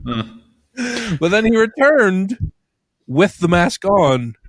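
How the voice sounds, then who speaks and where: A man hosting a casual podcast, hoarse and stuffy from laughing, rambling with many restarts and giggles. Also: sits high, big pitch swings, very slow, no room sound. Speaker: podcast host.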